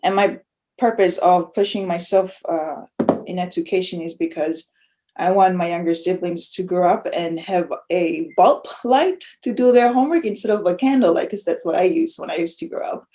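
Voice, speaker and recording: A young woman from Tonga speaks as a podcast guest in a soft, heartfelt way, often breaking into laughter. Her voice sounds mellow and warm. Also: on the low side, normal speed. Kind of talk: casual interview.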